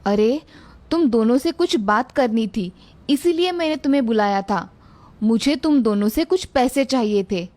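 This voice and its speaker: A woman's voice: a little high, lively intonation, moderate pace, a little mellow, close to an everyday voice. A woman voicing a greedy, scheming old village mother-in-law in a cartoon story. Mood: demanding. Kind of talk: Hindi cartoon story voice acting.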